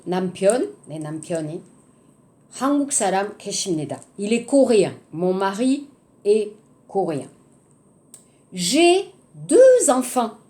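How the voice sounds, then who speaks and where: French woman, 50s, French accent, language teacher, bright animated delivery, patient repetition. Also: fairly high pitch. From France. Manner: slow, repeats each phrase across languages, checks in with "hein?". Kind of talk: introductory language lesson.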